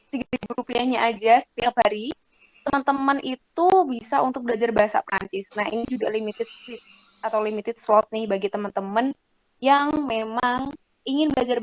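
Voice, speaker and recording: Woman hosting an online class, chatty upbeat pitch, a bit high voice, computer mic. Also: low-quality recording. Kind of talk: casual promotional chat to students.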